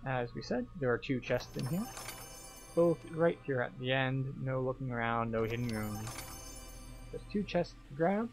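Man guiding a game playthrough over music. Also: medium pitch. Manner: explanatory, step-by-step. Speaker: gamer, video game tour guide. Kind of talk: casual playthrough commentary.

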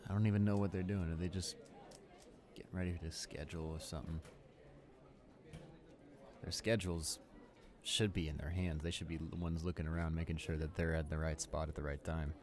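A man's voice: rumbling low, flat delivery, a little fast, long pauses, darkish tone, slight background noise. A young man playing an arrogant, irritable student bully in an improvised audio roleplay, with a smug, dismissive manner. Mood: mocking. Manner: smug, dismissive, muttering to himself.

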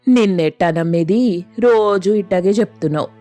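A woman's voice: mid-range voice, normal speed, warm tone, dry recording, faint music behind, lightly acted. A woman playing a thrifty, nagging village wife in a moral story, with colloquial rustic speech. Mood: scolding. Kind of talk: Telugu moral story voice acting.

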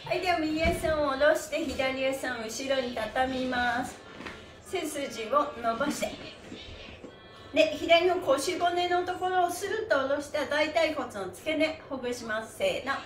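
High-voiced cheerful woman leading stretches, echoey room. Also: animated, moderate pace, faint music behind. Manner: cheerful, encouraging, singsong chanting. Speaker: stretching instructor. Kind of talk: follow-along exercise instruction with chanted counts. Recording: echoey room, faint background noise.

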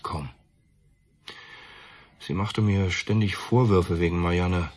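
An actor playing a bereaved, defensive middle-aged father, measured and earnest, in a radio drama. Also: low voice, darkish tone. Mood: regretful, bitter. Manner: measured, earnest, self-justifying. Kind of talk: German radio drama acting.

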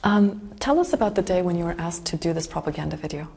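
A woman's voice: fairly low pitch, fast talker, creaky, a little mellow. A woman in her thirties asking questions as a journalist in an interview. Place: indoor room.

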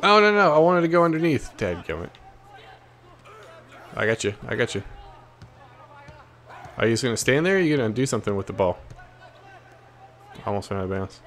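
A man's voice: mid-range voice, very animated, fairly quick, long pauses, fairly bright tone. An American man giving casual, playful trash-talking commentary as he plays a video game, jumping between exclamations and muttered asides. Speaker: gaming video host. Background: basketball video game sounds.

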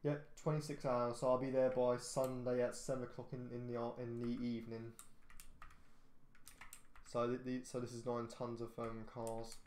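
Male gamer, chatty and rambling, monotone delivery, echoey bedroom with faint hiss. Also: mid-range voice, moderate pace. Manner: rambling, hesitant, lots of fillers and restarts. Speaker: gaming YouTuber. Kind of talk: casual game commentary.

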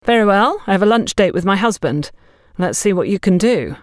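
A woman voicing a stern, frank middle-aged businesswoman in an audiobook, brisk and no-nonsense. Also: medium pitch, expressive, dry recording, studio quality, close to an everyday voice. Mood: grudging.

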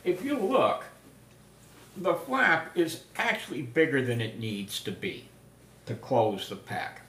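An older man talking through the history of a piece of camping gear in a folksy, unhurried way, with many filler sounds. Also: medium pitch, animated, fairly bright tone, a little hiss or hum. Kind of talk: casual spoken history lesson. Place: small room indoors.

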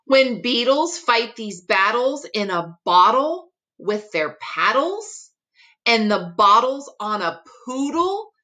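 A middle-aged woman teacher reading a rhyming picture book aloud expressively, articulating tongue twisters with deliberate care. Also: a little high, very animated, bright, forceful tone. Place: small room at home, indoors.

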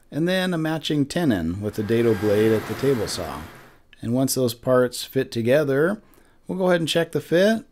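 A man's voice: mid-range voice, a little mellow. A man in his forties giving a friendly step-by-step woodworking lesson in his wood shop. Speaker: woodworking instructor. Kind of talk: instructional shop tutorial. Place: wood shop with lumber racks, indoors.

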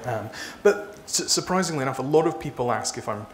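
A man in his thirties speaking haltingly as an author being interviewed on stage. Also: medium pitch, expressive. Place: small dark stage before a seated audience. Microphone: lapel mic. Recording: noisy live event room recording.

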